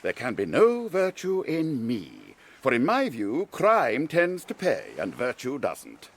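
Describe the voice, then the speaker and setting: A man's voice: mid-range voice, huge pitch swings, slow pace, heavily acted. An actor playing a vain, courtly and slyly menacing foreign Count in a period radio drama, mocking and theatrical as he relishes every word. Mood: philosophical, cynical.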